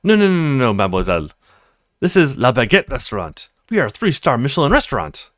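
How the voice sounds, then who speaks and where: A man's voice: mid-range voice, wildly swooping pitch, fast talker, no room sound, clean studio sound. A man voicing a snobbish, condescending maitre d' in a read-aloud of a video game. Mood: haughty. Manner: pompous, condescending, fawning to VIPs. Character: snobbish pompous French maitre d' at a posh restaurant.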